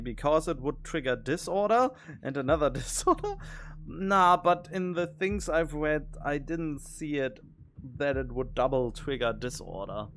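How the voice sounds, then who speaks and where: A man's voice: fairly high pitch, a bit bright, slight background noise. A man streaming a video game, thinking aloud in casual commentary with rambling, wondering questions.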